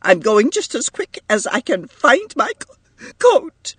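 Crying upset young mom from a storybook, super high, stammery, wailing, all indignant, kinda husky. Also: female voice, sing-song, slow pace, no room sound, exaggerated voice. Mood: broken outrage. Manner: stammers through sobs, broken and wailing. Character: sobbing, indignant young mother, breaking down in hurt fury.

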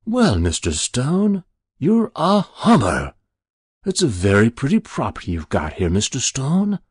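Storybook devil, performed by a male narrator: genteel businessman, unctuous and mock-pious, very animated delivery, slightly gritty tone. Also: medium pitch, unhurried, slightly rough, no room sound, clean studio sound, pitched above the performer's own voice, exaggerated voice. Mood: smug, easy. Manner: easy, unctuous, mock-pious, precise diction. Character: smooth sinister devil posing as genteel businessman. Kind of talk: dramatic literary storytelling.

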